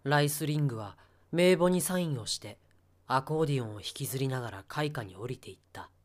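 A man's voice: medium pitch, expressive, fast talker. A man reading scripted story narration for an audio drama.

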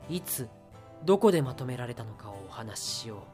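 A man's voice: medium pitch, big pitch swings, fairly quick. Male drama narrator over music. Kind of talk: scripted story narration for audio drama.

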